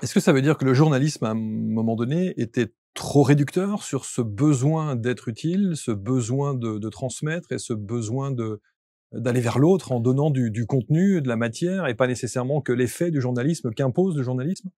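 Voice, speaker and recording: A man's voice: mid-range voice, expressive, normal speed, warm tone, dry recording, clean studio sound. A man hosting an interview, asking long, probing questions and murmuring along as his guest answers. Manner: probing, long winding questions, attentive back-channel murmurs. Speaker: interview host.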